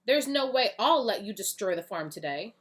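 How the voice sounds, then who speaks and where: A woman voicing an eager young male superhero in a children's story, talking in earnest, excited exclamations. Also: medium pitch, normal speed, close to an everyday voice. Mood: determined.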